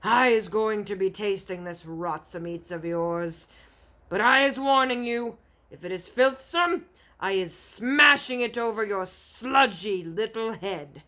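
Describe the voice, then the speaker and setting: A woman voicing a greedy, suspicious male giant who speaks slowly and menacingly. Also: lowish voice, a little mellow. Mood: threatening. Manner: slow, menacing, drawn-out.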